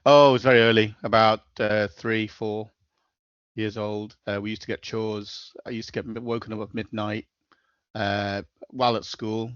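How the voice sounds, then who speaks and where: A man's voice: fairly low pitch, a bit bright, no echo, lo-fi sound. A middle-aged man with a Liverpool accent, a podcast guest talking in a level, matter-of-fact way with many hesitations. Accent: Liverpool (Scouse). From Liverpool, England. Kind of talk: casual interview chat.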